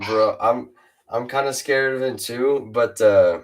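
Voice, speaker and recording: A teenage boy with a very deep voice, hosting a casual chat with a fellow rapper and hesitating often. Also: slow pace, slight background noise. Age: teens. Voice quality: super deep voice. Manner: hesitant, lots of uh and restarts. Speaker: host interviewing a fellow rapper.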